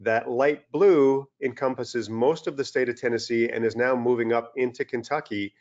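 A man's voice: mid-range voice, normal speed. Middle-aged man lecturing on a dull video call. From Western Canada. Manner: relaxed and explanatory, with many fillers and restarts. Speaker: turfgrass scientist presenting a webinar. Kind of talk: webinar lecture over slides. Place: small indoor room, video call.

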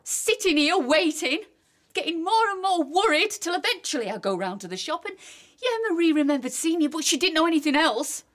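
High-pitched, animated, wounded wife. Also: female voice, brisk, exaggerated voice. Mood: hurt, reproachful. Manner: pointed, reproachful questioning. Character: hurt, angry, accusing estranged wife. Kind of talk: naturalistic radio drama acting.

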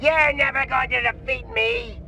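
A man's voice: very high voice, fairly even intonation, unhurried, slight background noise, full character voice. A man playing a pompous, shrimpy megalomaniac villain who rants melodramatically in a video game. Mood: boastful, ranting. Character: shrimpy, tantrum-prone male megalomaniac cartoon villain inventor. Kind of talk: video game cutscene acting. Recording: loud game music behind.

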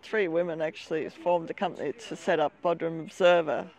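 A woman's voice: low voice, steady tone, a little fast, a little mellow, poor audio. An older woman, chatting as an interview guest at an outdoor seaside café, recorded on a lapel mic. She rambles a little and laughs often. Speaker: interview guest, expat community organizer. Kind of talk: casual interview chat.

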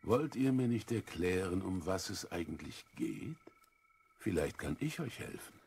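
An older male actor playing a wise, calm old Greek philosopher in a children's audio drama, measured and drily amused. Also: sits low, slight background noise. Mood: patient, probing. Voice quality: husky, creaky. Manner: measured, deliberate, self-assured.

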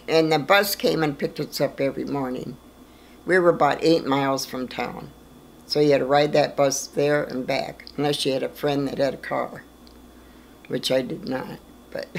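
A woman in her eighties telling family stories in a plain-spoken, unhurried way during a documentary interview at home. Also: sits low, a little hiss or hum. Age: 80s. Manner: plain-spoken, slow storytelling with small laughs. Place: living room, in an armchair.

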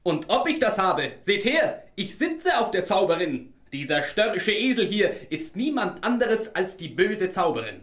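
Young hero, cocky, teasing, animated intonation, fairy-tale audio play. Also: male voice, sits high, a little slow, few pauses, exaggerated voice. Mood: triumphant. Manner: bold, teasing.

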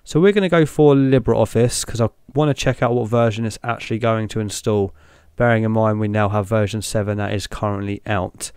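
A young man hosting a tech channel, talking through each step in a relaxed way as he clicks through an install on screen. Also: fairly low pitch, fairly quick, clean tone, dry recording, studio quality. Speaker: tech video host. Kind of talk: screen-recorded walkthrough narration.

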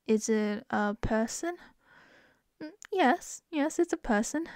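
A woman's voice: on the high side, lively intonation, slow pace, a little mellow, no echo. A woman with an Australian accent in a close-mic ASMR roleplay as a wife, playful and giggly.

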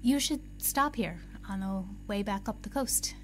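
A woman's voice: mid-range voice, a little slow, lightly acted. Audio drama barista played by a woman: young, wistful, naturally chatty, mid-range voice over prominent music. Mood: warm, curious. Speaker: actor.

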